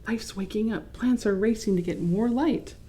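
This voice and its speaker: A woman voicing a cheerful father in a picture book who explains things gently. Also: mid-range voice, normal speed, pitched above the performer's own voice, lightly acted. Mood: explaining.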